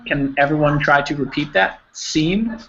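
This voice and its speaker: A man's voice: on the high side, moderate pace, fairly bright tone. An American man teaching an online English pronunciation class, using simple wording and checking with his learners. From United States, New Jersey. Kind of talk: live online group pronunciation lesson. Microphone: video call, close to computer. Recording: dull lo-fi online call, clear noise.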